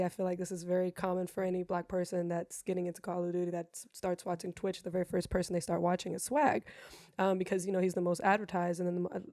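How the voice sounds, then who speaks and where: A woman's voice: on the low side, a little mellow, no room sound. Brief: woman, English, rambling and blunt, dry close sound. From Germany. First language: German. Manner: rambling, frank, swears freely. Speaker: guest streamer. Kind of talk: casual interview chat.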